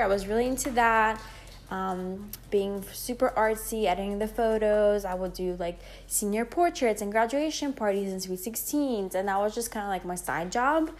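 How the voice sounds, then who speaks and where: A woman in her twenties from Greece, hosting a podcast and chatting casually on her own, with lots of fillers and rambling asides. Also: medium pitch. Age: 20s. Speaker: podcast host. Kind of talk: casual solo podcast chat.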